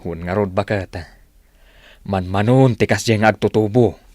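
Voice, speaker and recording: A man's voice: on the low side, normal speed, a little mellow, dry recording, studio quality, natural voice. A man with an Ilocano accent playing a talkative working husband and father in a radio drama. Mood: casual.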